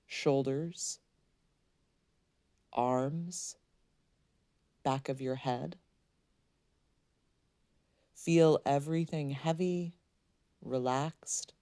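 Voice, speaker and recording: A woman leading a guided meditation, speaking in a soothing, gently instructing way with unhurried pauses. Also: a bass voice, no echo, clean studio sound.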